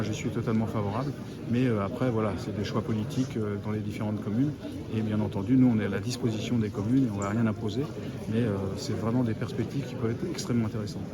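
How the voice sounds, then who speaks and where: A man giving explanatory interview answers as a local official, with hesitant pauses. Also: on the low side. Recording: loud crowd chatter around the voice. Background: busy crowd chatter.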